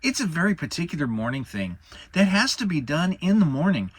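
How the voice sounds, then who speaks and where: A man voicing a small, timid, excitable piglet in a children's storybook, polite and anxiously making excuses. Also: fairly high pitch, breathy edge, pitched above the performer's own voice. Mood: anxious, evasive. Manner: nervous, hurried excuse-making.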